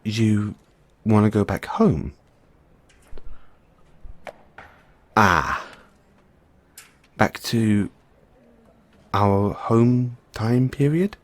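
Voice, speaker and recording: A man playing a nervous, geeky mad scientist boyfriend in an audio roleplay, explaining things haltingly to his partner. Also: fairly low pitch, animated, no echo. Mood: amazed. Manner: hesitant, stammering, explaining at length. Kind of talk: audio roleplay acting, addressing the listener as partner.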